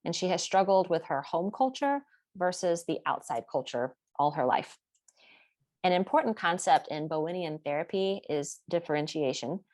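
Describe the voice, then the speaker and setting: Woman, student presenter, low voice, dry close sound, steady explanatory delivery. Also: normal speed, no echo. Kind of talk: recorded class presentation.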